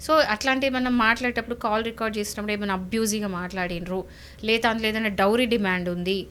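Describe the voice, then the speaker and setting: A woman's voice: mid-range voice, steady tone, racing, fairly bright tone, slight background noise. A woman with a Telangana Telugu accent explaining legal advice conversationally as an expert, emphatic, repeating points and asking rhetorical questions. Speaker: lawyer, guest legal expert.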